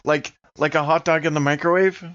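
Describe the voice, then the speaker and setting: A man's voice: a little high, steady tone, a little fast, a bit bright, highs cut off, slight background noise. A man chatting casually as a player in a tabletop game, describing what his character does.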